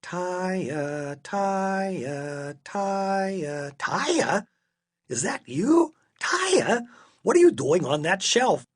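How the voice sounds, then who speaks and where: A man playing an exasperated, excitable father in a children's story. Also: sits high, drawn-out words, few pauses, voice pushed up. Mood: puzzled. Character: exasperated, excitable father scolding his daughter.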